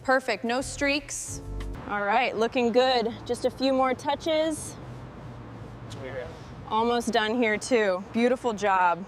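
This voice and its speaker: A woman's voice: fairly high pitch, slow pace, long pauses, faint music behind. A woman giving short work remarks as part of a truck restoration team.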